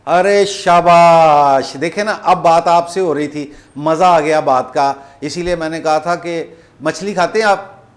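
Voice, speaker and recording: A man with a Pakistani accent making a prank phone call, rambling and repeating himself in deliberately confusing improvised banter. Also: fairly high pitch. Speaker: prank caller hosting a comedy show.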